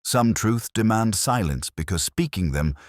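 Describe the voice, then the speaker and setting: A man playing a weary, brooding older detective who narrates his case in measured, literary, introspective mystery prose. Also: fairly low pitch, expressive, no room sound, studio quality. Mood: grave, contemplative. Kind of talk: scripted first-person mystery narration.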